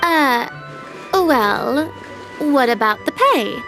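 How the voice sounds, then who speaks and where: A woman's voice: high-pitched, lively intonation, extremely slow, over music, exaggerated voice, poor audio. A woman playing a proud, theatrical young star turned film director in a video game, haughty and self-important. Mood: coy, probing.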